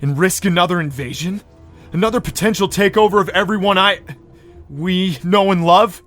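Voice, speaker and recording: Guy doing frantic hot-headed cartoon pony prince, high voice, agitated and insistent, music behind. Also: moderate pace, fairly bright tone. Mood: defensive, agitated. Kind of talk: cartoon fan audio drama acting.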